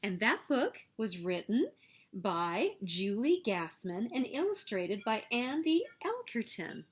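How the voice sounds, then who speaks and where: A woman reading a children's picture book aloud as story host, with expressive, emphatic delivery. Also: mid-range voice, slow pace, breathy edge. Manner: expressive, emphatic storytelling with sing-song refrains.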